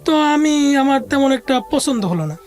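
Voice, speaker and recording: High-pitched Bangladeshi woman telling her story. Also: lively intonation, moderate pace, darkish tone, faint music behind. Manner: conversational, often quoting others' words. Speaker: interview guest. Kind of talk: personal life storytelling.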